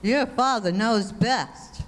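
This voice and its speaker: A woman's voice: on the high side, slow. A woman playing a patient, politely coaxing housewife and mother in an amateur 1940s-style radio comedy. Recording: reverberant hall, faint background noise.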